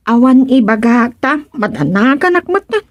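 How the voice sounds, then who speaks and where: Forthright, concerned health worker played by a woman, high voice, Ilocano radio comedy, dry sound. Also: expressive, normal speed, dry recording, natural voice. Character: worried but forthright female village health worker.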